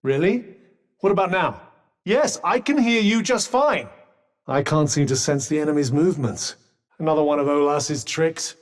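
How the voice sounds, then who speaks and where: A sardonic talking magic cuff played by a man from a video game, with a British accent and a fairly high-pitched voice. It gives urgent combat advice in eloquent, dryly witty phrasing. The voice sits a little away from the mic. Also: expressive, moderate pace, distant mic, close to an everyday voice. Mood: grave, cautioning. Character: talking magic cuff, dry witty male battle companion. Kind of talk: video game companion voice lines.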